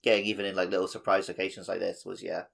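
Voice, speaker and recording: A man's voice: deep voice, level tone, racing, vocal fry, a bit bright. A man co-hosting a casual gaming podcast, chipping in with conversational comments. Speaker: podcast co-host.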